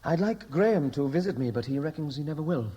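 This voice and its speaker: A restless man back home from America in an old radio drama. He is dry, laconic and teasing, with a Welsh accent touched by American. His voice is dark, soft-edged and husky. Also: a little high, lively intonation, fast. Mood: teasing, challenging. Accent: Welsh with an American tinge. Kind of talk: 1960s radio drama acting.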